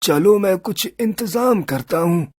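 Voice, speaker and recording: An actor voicing an old village uncle who speaks in a gentle, fatherly, measured way in an animated storybook. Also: high-pitched, dry recording, clean studio sound. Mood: advising.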